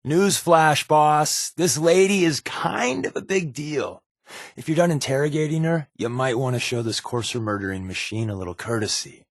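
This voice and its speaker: An actor playing a wry, secretive spy in a video game, with a glib, offhand, conversational delivery. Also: male voice, on the high side, normal speed, dry recording, clean studio sound. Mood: sarcastic. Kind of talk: video game companion dialogue.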